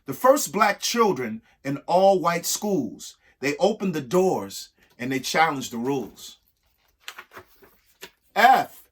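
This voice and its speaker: Man, slightly high voice, husky, very animated intonation, emphatic phrasing, expressive children's read-aloud. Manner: emphatic, pausing between short phrases. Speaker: reader of a children's picture book. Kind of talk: expressive read-aloud of a rhyming alphabet book with spoken asides.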